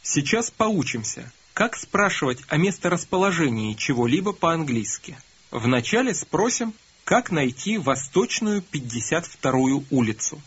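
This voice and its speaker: A man narrating a recorded language course, calmly prompting learners to repeat and answer. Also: on the high side, measured pace, husky. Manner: calm, prompting, instructive. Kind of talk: scripted instruction prompting learners. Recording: dull lo-fi course audio.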